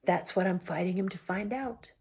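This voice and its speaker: Brief: woman playing a young boy king, grave and kindly, measured. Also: mid-range voice, a little mellow, slightly rough.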